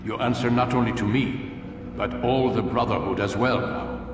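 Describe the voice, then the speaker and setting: A man's voice: lowish voice, distant mic, close to an everyday voice. Man acting a stern master in an echoing hall. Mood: solemn, commanding. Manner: measured, commanding, paternal and grandiose. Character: stern, solemn aging master of an assassin brotherhood. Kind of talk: dramatic video game cutscene acting. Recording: echoey hall, music behind.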